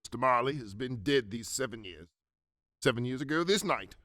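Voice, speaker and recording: A man's voice: medium pitch, expressive, a little fast, sharp tone, no echo, clean studio sound. A man playing a bitter, miserly older businessman in a radio drama, curt, scornful and sarcastic. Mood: cold, sarcastic.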